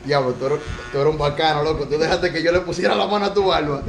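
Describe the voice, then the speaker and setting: A man's voice: on the high side, fairly even intonation, fast, a little hiss or hum. A young man with a Dominican Spanish accent, joking and bragging as he plays barber in a vlog, teasing the others in a crowded barbershop. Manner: brash, boastful, teasing, repeats catchphrases. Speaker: vlog host playing barber. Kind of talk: joking banter while cutting hair. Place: small busy barbershop indoors. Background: chatter of several men.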